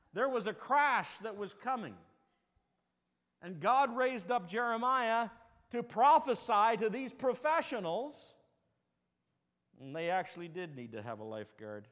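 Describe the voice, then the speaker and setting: A man's voice: high-pitched, expressive, measured pace, long pauses, fairly bright tone. A male pastor preaching a sermon, telling folksy stories and jokes with rambling asides. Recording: long reverb of a large hall.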